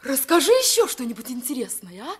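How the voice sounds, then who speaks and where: A woman's voice: sits high, big pitch swings, brisk, slightly rough, heavily acted. A woman playing a sheltered, self-assured young prince who is curious and genteel, in a radio play. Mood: eager, amazed. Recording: vintage 1950s radio play recording.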